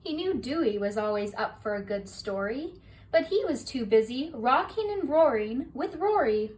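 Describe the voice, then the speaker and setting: A woman reading a children's bedtime story aloud in a soft, rhythmic rhyming delivery. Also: on the high side, sing-song, normal speed. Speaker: storybook reader. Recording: distant mic, faint hiss.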